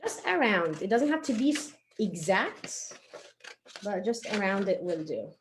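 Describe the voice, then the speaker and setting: A woman's voice: medium pitch, big pitch swings, unhurried. Casting: woman, mid-range voice, informal encouraging craft teacher for kids, hands-busy delivery, slightly noisy recording. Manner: informal, encouraging, talking while working with hands. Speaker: craft tutorial presenter. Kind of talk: casual step-by-step craft demonstration for children.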